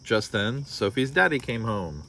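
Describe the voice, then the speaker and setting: A man's voice: medium pitch, sing-song, a little slow, pushed and bright, slight background noise. An American man reading a children's story aloud, breaking off for wry, casual asides. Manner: wry, joking commentary. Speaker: storybook reader.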